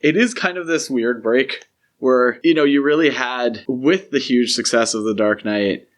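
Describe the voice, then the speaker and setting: A man's voice: medium pitch, measured pace. A man co-hosting a podcast in casual film discussion, talking conversationally and peppering sentences with "like" and "you know". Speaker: podcast co-host.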